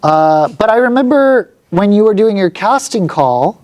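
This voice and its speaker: A woman hosting a casual live chat, asking guests quick questions and tossing in short reactions. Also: mid-range voice, lively intonation, a little mellow. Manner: upbeat, quick interjections. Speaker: host.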